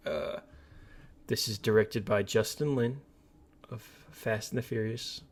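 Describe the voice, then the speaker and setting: A man's voice: fairly low pitch, unhurried. A man co-hosting a casual film talk podcast, speaking bluntly and with plenty of slang. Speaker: podcast co-host. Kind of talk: casual film discussion chat.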